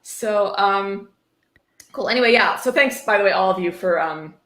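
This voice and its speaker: A woman's voice: medium pitch, moderate pace, fairly bright tone. A woman hosting a mocking livestream book reading, sarcastic, irreverent and profane, breaking off into casual commentary. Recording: echoey room.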